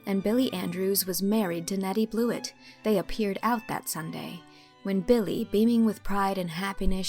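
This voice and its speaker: Female audiobook narrator: expressive literary reading, mid pitch with vocal fry, music underneath.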